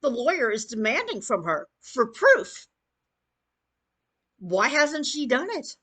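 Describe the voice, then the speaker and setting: Woman ranting for her channel, kinda slow paced but super up and down, blunt and scornful. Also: high voice, very animated, bright, forceful tone. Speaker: YouTube commentator. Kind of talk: opinionated rant commentary on online drama.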